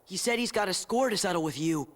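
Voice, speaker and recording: A man's voice: high voice, expressive, fast talker. A young-sounding male actor playing a brash, eager teenage boy hero in a video game cutscene. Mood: defiant, eager.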